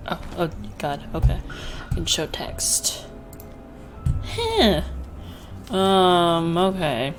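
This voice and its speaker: A woman's voice: on the low side, expressive, drawn-out words, long pauses, music in the background. Chatty Filipino woman gaming. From Philippines. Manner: rambling, self-deprecating, stumbling over words. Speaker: gaming YouTuber. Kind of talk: casual let's-play commentary.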